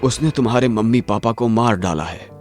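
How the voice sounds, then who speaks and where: A man playing a calm adult official in an animated horror story, speaking in a measured, grave way. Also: medium pitch, faint music behind, natural voice. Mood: grave, explaining. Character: calm adult male official explaining to a child.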